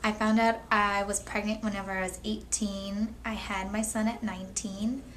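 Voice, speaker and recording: Thoughtful 22-year-old woman, measured speech. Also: medium pitch, level tone, slight background noise. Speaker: young mother telling her story. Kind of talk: candid personal testimony.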